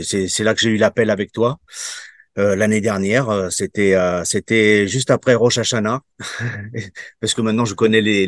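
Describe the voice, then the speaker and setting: A man in his fifties, from Portugal, giving a testimonial in an interview and answering haltingly with many fillers and restarts. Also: on the low side, no room sound, clean studio sound. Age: 50s. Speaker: program participant giving a testimonial.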